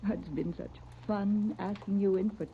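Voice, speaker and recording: A woman's voice: mid-range voice, steady tone, normal speed, creaky, lightly acted. An actress playing a flirtatious, affectionate woman neighbour, coy and cajoling, in 1930s radio serial style. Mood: wistful, tender. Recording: dull, noisy old radio recording.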